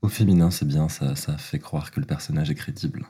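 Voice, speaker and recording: A man's voice: rumbling low, steady tone, fairly quick, mellow, slight background noise. A man from Belgium, a drag artist talking as a guest, hesitant with many fillers and easy laughs. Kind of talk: casual interview talk.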